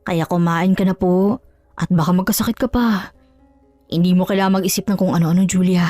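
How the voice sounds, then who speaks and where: A woman's voice: fairly low pitch, steady tone, brisk, warm tone, no room sound, soft background music, lightly acted. A woman voicing a devoted young boyfriend in a love story, soothing and coaxing. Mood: tender. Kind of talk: dramatized audio love story.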